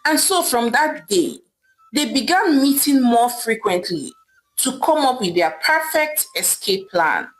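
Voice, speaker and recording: A woman's voice: a little high, animated, unhurried, faint music behind, poor audio. A woman with a Nigerian accent narrating a scripted story as a storyteller.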